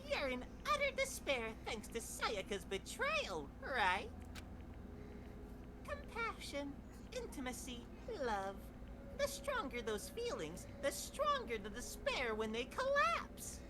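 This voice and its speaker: A woman's voice: high voice, huge pitch swings, takes it slowly, very noisy, heavily acted. Woman voicing a gleefully cruel, wildly swooping mascot bear. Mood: mocking glee. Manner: theatrical, taunting, sing-song. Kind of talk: fan voice acting of a video game.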